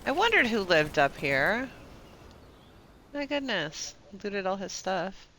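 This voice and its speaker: A woman's voice: mid-range voice, big pitch swings, slow pace, bright, forceful tone, slight background noise. A woman giving casual live commentary as she streams a game.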